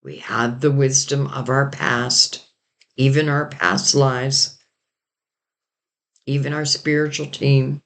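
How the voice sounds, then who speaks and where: A man giving a rambling, casual tarot reading while losing his voice and sounding hoarse. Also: mid-range voice, little pitch movement, slow, long pauses, a bit bright. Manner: rambling, loose and intuitive, many "like" and "you know". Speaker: tarot reader.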